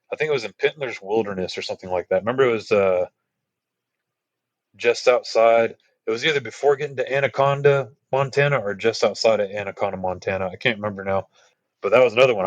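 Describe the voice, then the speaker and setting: A man's voice: no echo, lo-fi sound. A man from Texas, telling trail stories on a podcast in a rambling way, full of fillers and asides. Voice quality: deep voice. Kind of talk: casual storytelling.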